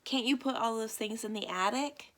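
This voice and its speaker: A woman's voice: fairly high pitch, moderate pace, a bit bright, slight background noise, pitched above the performer's own voice, close to an everyday voice. A woman voicing an inquisitive, incredulous child in a children's picture book read-aloud. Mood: puzzled. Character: inquisitive, incredulous child questioning a friend's odd household.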